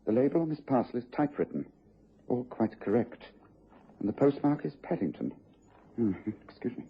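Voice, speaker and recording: An actor playing a brisk, self-assured English gentleman detective in a radio drama, with precise, authoritative delivery. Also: medium pitch, very animated. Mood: suspicious. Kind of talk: BBC radio drama acting. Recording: dull, noisy vintage radio recording.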